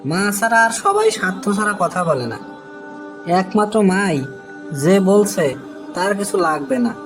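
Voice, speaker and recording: A man's voice: high voice, lively intonation, normal speed, over music. A man voicing a weary, dutiful young worker living abroad in a cartoon, with a Bangladeshi accent. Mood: weary, hurt. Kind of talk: cartoon dialogue.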